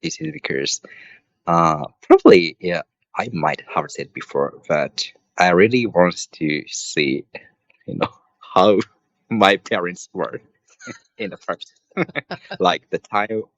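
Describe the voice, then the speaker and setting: A man's voice: deep voice, sing-song, slow pace, a bit bright, no echo, lo-fi sound. A man, an English student in casual conversation practice, hesitant and laughing often between words. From Japan. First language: Japanese.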